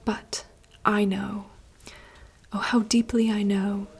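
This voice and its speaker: Lady whispering as a dreamy young princess, flat slow hush, bit of fry. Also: medium pitch. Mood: yearning. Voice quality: soft unvoiced whisper. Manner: poetic, yearning, slow. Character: wistful young princess longing for wild woods. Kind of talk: whispered monologue reading.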